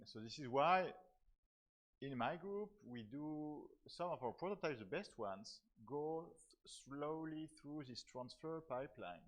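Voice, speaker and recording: A man from France, a professor of software technology, giving a conference talk with many fillers and repeated words. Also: mid-range voice. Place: conference hall.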